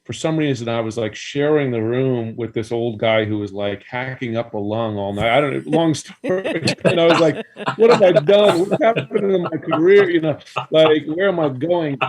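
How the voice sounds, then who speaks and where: A man's voice: on the high side, normal speed, a crowd in the background. A middle-aged man talking as a guest over a video call from a room at home, rambling and restarting his sentences. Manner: rambling, self-interrupting. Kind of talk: casual chat.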